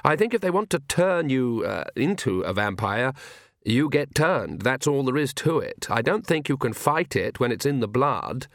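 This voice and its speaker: A man's voice: medium pitch, big pitch swings, moderate pace, no room sound, clean studio sound. A man playing an anxious man of religion in an audiobook story, hesitant and stammering. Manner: hesitant, stammering with ums and uhs.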